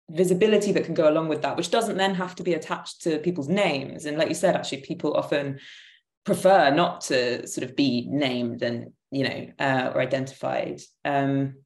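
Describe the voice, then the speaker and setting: A woman's voice: low voice, normal speed, a little mellow. A woman in her thirties thinking aloud tentatively as a panelist, on a video call in an echoey room. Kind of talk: reflective discussion. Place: room at home, indoors. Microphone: video call, device at desk distance.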